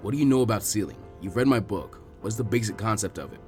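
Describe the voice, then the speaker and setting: Guy doing a serious old ninja teacher in a story, measured and frank, faint music. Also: fairly low pitch, expressive, a little mellow, pitched below the performer's own voice, natural voice. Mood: grave, patient. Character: frank older male ninja master, grave mentor. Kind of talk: acted storybook dialogue.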